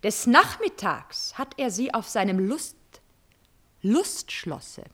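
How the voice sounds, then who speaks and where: An actress playing a bitter, mocking, vengeful countess in a classical radio tragedy, theatrical and emphatic, with biting irony. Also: female voice, on the high side, sing-song, slow, fairly bright tone, exaggerated voice. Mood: cutting, ominous. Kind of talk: classical German stage tragedy as radio drama.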